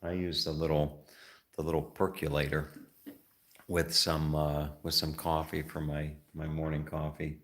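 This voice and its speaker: Very deep-voiced rambling American vlogger. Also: male voice, monotone, a bit bright. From United States. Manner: rambling, halting, many fillers and restarts. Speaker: travel vlogger. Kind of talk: casual vlog talk.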